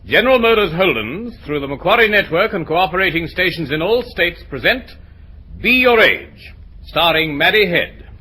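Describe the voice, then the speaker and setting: A man's voice: sits high, big pitch swings, moderate pace, sharp tone, faint music behind. A man announcing a radio drama in a formal, declamatory 1950s style. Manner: theatrical, declamatory. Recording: noisy 1950s radio recording.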